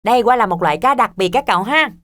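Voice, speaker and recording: A woman's voice: on the high side, lively intonation, very fast, very noisy, natural voice. A woman voicing a polite, cheerful child who leads a superhero team in a children's cartoon. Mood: cheerful, eager.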